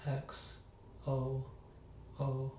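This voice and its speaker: A man playing the patient in an ASMR medical roleplay, giving brief, cooperative answers and reading out slowly. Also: medium pitch, completely flat delivery, mellow. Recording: echoey room with faint noise.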